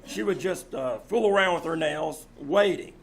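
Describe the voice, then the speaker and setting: An actor playing a smug, scheming nephew in a live old-time radio mystery, supercilious and insinuating. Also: male voice, fairly high pitch, moderate pace, natural voice. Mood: accusing. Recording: noisy live theater stage recording.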